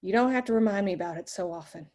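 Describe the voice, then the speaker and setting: A woman's voice: medium pitch, very fast, a little mellow, close to an everyday voice. Woman reading a timid young snooping widow. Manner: hesitant, stammering. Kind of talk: screenplay table read.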